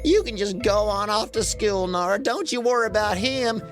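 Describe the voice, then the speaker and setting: A kindly little boy dump truck from a storybook, played by a woman, pitched well up and very lively. He talks in a folksy, courtly, earnest way, protective and polite. Music sits clearly behind the voice. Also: moderate pace, close to an everyday voice. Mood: sympathetic, protective.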